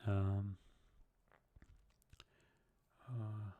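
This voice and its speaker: A young man chatting casually on a live coding stream, halting and restarting his sentences as he answers viewers. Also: lowish voice, level tone, narrow, tinny audio. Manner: halting, many restarts and repeated words.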